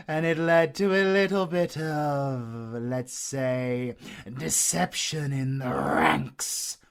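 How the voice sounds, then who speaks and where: A British man playing a flamboyant, sadistic werewolf villain in an audio roleplay, theatrical and mocking, drawling with long pauses. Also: fairly high pitch, heavily acted.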